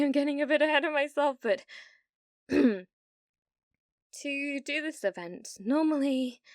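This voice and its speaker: Slow, obsessive British gamer girl played by a woman. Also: high voice, dry recording, clean studio sound. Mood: excited, obsessive, then shy, desperate. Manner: breathy giggles, slow dreamy delivery with sudden intense bursts. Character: delusional, needy, obsessive young woman gamer, lovestruck and menacing. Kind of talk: ASMR roleplay acting. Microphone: close studio-style mic, dry.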